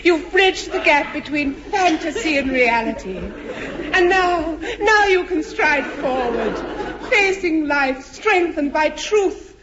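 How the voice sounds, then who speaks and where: A woman's voice: high-pitched, lively intonation, slow, crowd noise. An actress playing a fussy, motherly woman given to lofty sentiment in a 1950s radio sitcom, earnest and theatrical. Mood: lofty consoling. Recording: dull, noisy 1950s radio recording.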